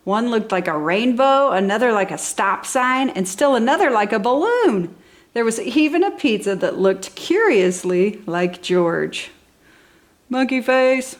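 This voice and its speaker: A woman narrates a picture-book story for toddlers. Her pitch swings widely as she stresses key words with enthusiasm. The delivery is expressive and clearly aimed at small children. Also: unhurried. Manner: enthusiastic, animated, exaggerated emphasis for young children. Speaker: storybook reader for a toddler channel.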